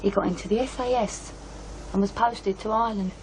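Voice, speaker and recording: Casting: actress for offhand, guarded young British woman, plain-spoken working-class, medium pitch, radio drama with faint noise. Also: fairly even intonation, brisk, darkish tone, natural voice, low-quality recording. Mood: bitter, matter-of-fact. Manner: blunt, colloquial. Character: blunt, guarded working-class young woman, matter-of-fact about family.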